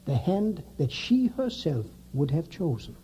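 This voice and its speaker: Commanding vampire hunter in radio drama, grave and theatrical, mellow voice, noisy recording. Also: male voice, on the high side, very animated, a little slow. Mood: solemn.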